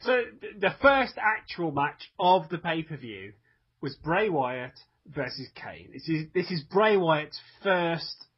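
Male podcast co-host, husky and emphatic, muffled recording. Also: on the high side, big pitch swings, takes it slowly. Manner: emphatic, frequent restarts. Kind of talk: casual chat.

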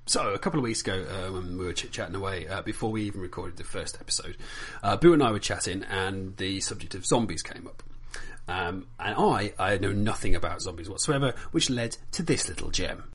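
A man's voice: low-pitched, animated, a little fast, husky. A man in his thirties hosting a casual podcast, chatting in a self-deprecating way with hesitant restarts. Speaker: podcast host.

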